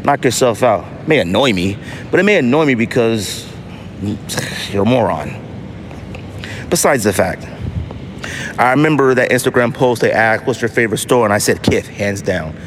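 A middle-aged American man hosting a casual solo podcast, telling stories in an animated, slangy, emphatic way. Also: mid-range voice, normal speed, pauses often, a little hiss or hum. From United States. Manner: animated, slangy storytelling with mock voices for others; emphatic, with repetition for effect. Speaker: podcast host.

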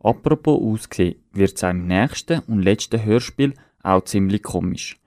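A man with a fairly low voice presents radio links from a script. The sound is close and dry, with no room around him. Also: expressive, a little slow, darkish tone, no echo.

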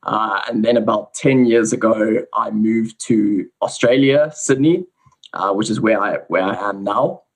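South African man with a low voice. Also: unhurried. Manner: relaxed, explanatory storytelling. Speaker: guest researcher. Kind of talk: casual podcast interview.

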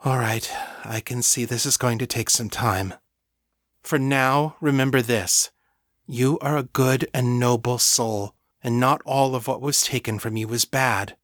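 Kind but commanding mistress for fantasy audiobook, read by a man: protective, steady resolve, medium register. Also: mid-range voice, a little slow, no room sound, clean studio sound. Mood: patient, resolved. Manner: firm, compassionate. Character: Miriam, kind, firm, protective mistress, woman.

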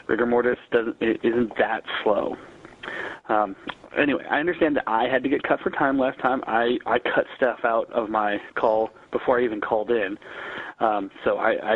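A hoarse man with a cold, leaving an eager, opinionated voicemail to a podcast as a fan. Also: mid-range voice, dry recording. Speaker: caller, listener. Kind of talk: recorded voicemail message. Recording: tinny phone line.

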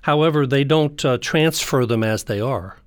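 A man's voice: mid-range voice, moderate pace, clear, resonant tone, no echo, studio quality. A man speaking as an interview guest, explaining like a theology teacher.

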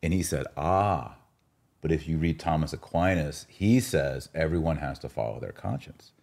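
Deep-voiced man chatting on a podcast. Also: expressive, normal speed, creaky, a little mellow. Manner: informal, recounts dialogue in quotes. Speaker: podcast host. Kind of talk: casual podcast conversation, storytelling.

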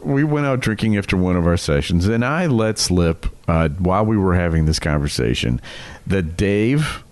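Lively, low-voiced American man. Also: moderate pace, no echo. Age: middle-aged. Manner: teasing, animated. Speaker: comedy podcast co-host. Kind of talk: casual comic storytelling.

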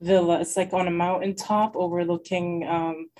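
A woman's voice: fairly low pitch, little pitch movement, moderate pace, mellow, a little hiss or hum. A young woman raised in Lebanon, talking as a guest in a casual interview, hesitant and full of fillers. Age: young adult.

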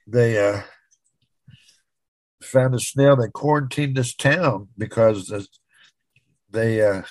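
A man from Florida co-hosting a casual talk show, retelling a news article in a halting, rambling way. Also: mid-range voice, no room sound. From Florida, United States.

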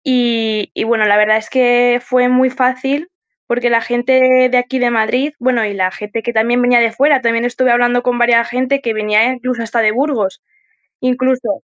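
High-voiced woman on a dull recording. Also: flat delivery, normal speed. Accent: Spain. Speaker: podcast guest and event helper. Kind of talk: casual chat.

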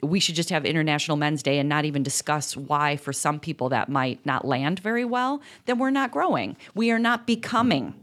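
A woman's voice: low-pitched, expressive, brisk, hardly any pauses, a bit bright. A middle-aged woman co-hosting a podcast, explaining ideas earnestly in casual conversation, with fillers and restarts. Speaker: podcast co-host.

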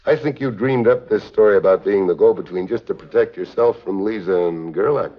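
An actor playing a tough, wry American secret agent in a 1950s spy drama, clipped, deadpan and sardonic. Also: male voice, fairly low pitch, brisk, lightly acted. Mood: cool, sardonic. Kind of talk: 1950s hard-boiled spy drama acting and narration. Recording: dull 1950s TV film soundtrack.

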